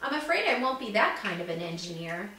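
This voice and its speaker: A woman's voice: mid-range voice, very animated, brisk, bright, forceful tone. A woman voicing a good-natured young male student assistant in a storybook, friendly and easygoing. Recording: echoey room, distant mic, background noise.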